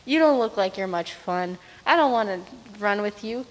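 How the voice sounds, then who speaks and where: A woman's voice: mid-range voice, animated, moderate pace, natural voice. A boastful dog from a storybook, played by a woman. He is blunt and dismissive, full of scorn. The voice carries long hall reverb. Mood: scornful.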